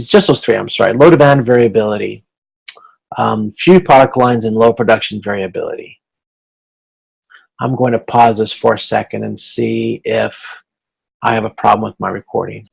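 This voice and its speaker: Gravelly-voiced man giving an informal lecture. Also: fairly low pitch, fairly even intonation, normal speed, long pauses, rough recording. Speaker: college course instructor.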